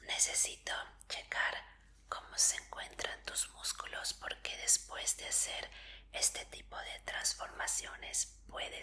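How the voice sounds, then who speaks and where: Woman playing attentive female examiner for an ASMR roleplay: crisp, very bright whisper, soothing and polite. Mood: polite, explaining. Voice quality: soft breathy whisper. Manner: slow, soothing, polite instructions with encouragement. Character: gentle, attentive female medical examiner preparing a fighter.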